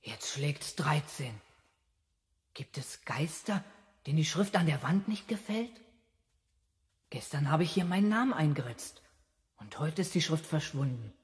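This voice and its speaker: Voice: mid-range voice, expressive, unhurried, pauses often, slightly rough. A young performer playing an eager, self-important boy detective in a 1970s German children's radio play, earnestly reasoning aloud. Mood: secretive musing. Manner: earnest, deductive, musing aloud.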